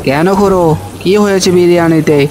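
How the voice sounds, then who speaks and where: Male actor as arrogant greedy eatery owner, cartoon villain, quick, high-pitched, haughty menace, faint ambience. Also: fairly even intonation, darkish tone, a little hiss or hum, natural voice. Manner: haughty, threatening. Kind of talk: animated folk tale dialogue.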